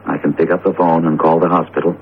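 An actor in a radio drama playing an earnest, determined teacher with deliberate, theatrical phrasing. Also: male voice, low-pitched, flat delivery. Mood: grimly determined. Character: jumpy, determined male teacher confronting a cursed statue. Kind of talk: vintage radio drama acting. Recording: tinny, narrowband old radio broadcast, noisy.

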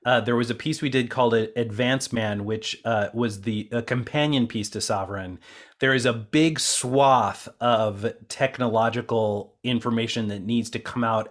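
Reflective man hosting a conversational interview. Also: medium pitch. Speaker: interviewer and co-producer, theater actor.

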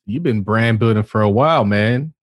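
Low-voiced man, casual podcast co-host. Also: moderate pace, no echo, clean studio sound. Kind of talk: casual banter, asking questions.

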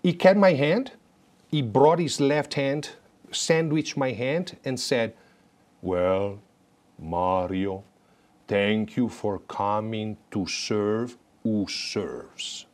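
A middle-aged man from Italy, a guest recounting stories in an interview with animated, good-humored delivery. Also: on the low side. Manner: warm-humored, animated storytelling with brisk asides. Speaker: guest, former papal guard. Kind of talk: storytelling interview.

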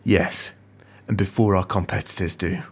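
A husky, eager spy. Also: male voice, deep voice, steady tone, normal speed. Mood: conspiratorial. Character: eager, ambitious male intelligence agent. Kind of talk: audio drama acting.

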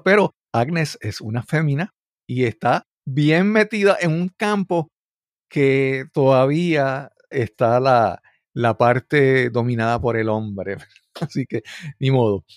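A man speaks as an interview host in a mid-range voice. He builds his questions haltingly, repeating and rewording as he goes. The sound is dry, with very little room. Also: mid-range voice, no room sound. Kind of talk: conversational interview.

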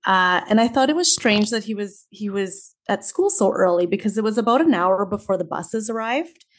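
Canadian woman guest, friendly and measured. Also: medium pitch, animated. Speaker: teacher and author, guest. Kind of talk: casual interview storytelling.

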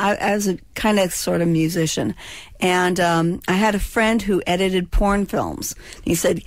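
A woman's voice: medium pitch, dry recording. Woman in her 60s, slight lisp, cracks jokes telling old stories, dry close sound. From California. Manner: chatty, joking storyteller with a slight lisp. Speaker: guest, former child actor. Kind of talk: casual radio interview chat.

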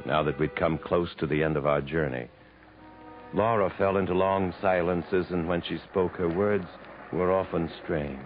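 A muffled old radio broadcast with faint music carries an actor playing a very low-voiced man. He is an earnest, world-weary English traveler, dramatic and measured in 1950s radio style. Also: fairly even intonation, lightly acted. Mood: wistful, reflective. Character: earnest, world-weary Englishman narrating his jungle journey.

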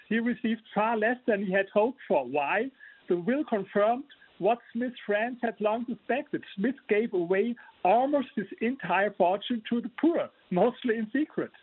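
A man's voice: sits high, breathy edge, no room sound. German-accented man on a tinny line. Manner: earnest, explanatory, frequent restarts. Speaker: author guest. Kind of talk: remote interview.

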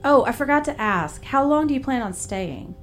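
Actress as a high-voiced, lively, breezy woman. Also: normal speed, faint music behind. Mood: curious. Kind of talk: audio drama acting.

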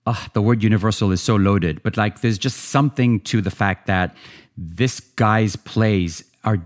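Male director, low voice, loose conversational interview delivery. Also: a little slow. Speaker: theater director. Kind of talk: casual interview talk.

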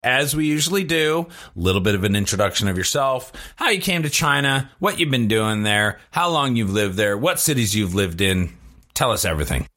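A man's voice: lowish voice, animated, brisk, sharp tone. A man hosting a business podcast, introducing his guest in an upbeat, conversational way. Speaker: podcast host. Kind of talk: podcast interview and scripted intro.

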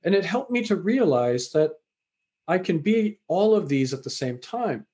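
Man, middle-aged, from Canada, higher-pitched voice, reflective, storytelling, fillers. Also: very animated, unhurried, warm tone. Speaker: author and university lecturer. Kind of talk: conversational interview answers.

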